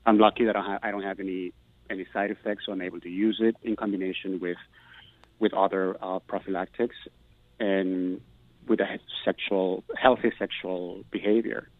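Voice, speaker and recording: A man's voice: deep voice, little pitch movement, normal speed, dry recording, narrow, tinny audio. A middle-aged man from Peru, giving earnest, thoughtful interview answers and restarting words as he searches for them. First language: Spanish. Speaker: guest, Spanish professor.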